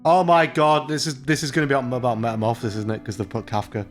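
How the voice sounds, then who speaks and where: A man hosts a let's play, reading story text aloud and breaking off into irreverent, sweary commentary. His voice sits at an average male pitch, with guitar music playing behind it. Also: little pitch movement, fast talker. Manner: irreverent, sweary, mocking asides. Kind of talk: joking commentary and read-aloud of a visual novel.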